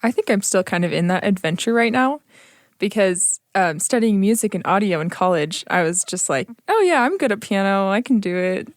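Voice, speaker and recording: A woman's voice: mid-range voice, animated, normal speed, no echo, clean studio sound. A young woman, an audio engineer guest, chatting casually in a playful, giggly way. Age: young adult. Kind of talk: casual chat.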